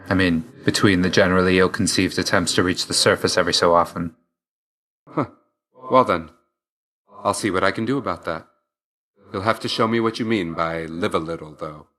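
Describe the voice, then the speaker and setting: A stern young god of death for a video game. His voice sits low. He speaks with aloof, dry restraint, brooding and measured in every line. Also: fairly bright tone. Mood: reserved, then guarded, softening. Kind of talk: scripted game character dialogue.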